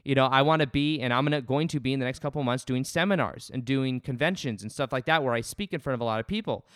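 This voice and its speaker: A man in his late twenties hosts a casual self-help podcast from his home. His loud voice is smooth and resonant, and he speaks earnestly, circling back with restarts. The recording is close and dry. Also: medium pitch, fast talker, no room sound. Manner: earnest, rambling, with frequent restarts. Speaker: podcast host. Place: home studio.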